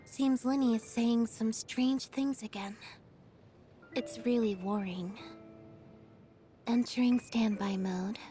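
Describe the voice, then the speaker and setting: High, deadpan young magician's assistant. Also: female voice, takes it slowly, pauses often, soft background music. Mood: detached. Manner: deadpan, reserved, understated. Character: aloof, quiet young female magician's assistant. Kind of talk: video game character voice lines.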